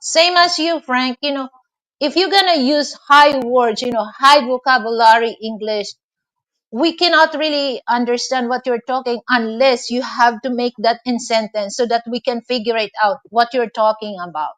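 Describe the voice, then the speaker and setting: A woman's voice: fairly high pitch, moderate pace, fairly bright tone. A middle-aged Filipino woman hosting a live online interview, rambling with many filler phrases and restarts. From Philippines. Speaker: host.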